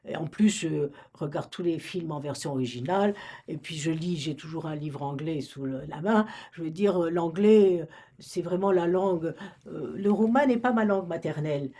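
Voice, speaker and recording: A woman's voice: deep voice, normal speed, warm tone. An older woman from Romania, a retired librarian, recalling her past in an oral history interview, hesitant and full of restarts. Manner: hesitant, many restarts and fillers.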